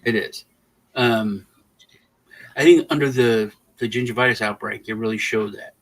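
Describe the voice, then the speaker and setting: A man's voice: fairly low pitch, normal speed, poor audio. A man from Texas who grew up partly in Germany, chatting casually as a podcast host in a rambling way, often restarting his phrases. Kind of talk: casual political chat.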